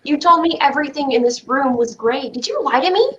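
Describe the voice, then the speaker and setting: A woman's voice: high-pitched, lively intonation, fairly quick, fairly bright tone. A woman playing an anxious, pleading child in a staged reading of a play. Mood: confused, troubled. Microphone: video call. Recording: muffled livestream call audio.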